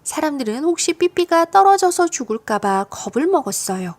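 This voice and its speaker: A woman narrates a children's story from a script. Her voice sits high and moves widely up and down. She tells it expressively, as if for young listeners. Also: big pitch swings, normal speed. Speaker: audiobook narrator of children's stories.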